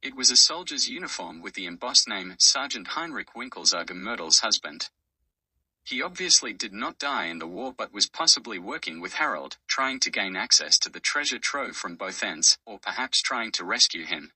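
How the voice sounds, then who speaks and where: A man's voice: on the low side, big pitch swings, moderate pace, sharp tone, husky, dry recording, far from the mic, rough recording. A man reading a scripted first-person adventure story as its narrator. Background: music.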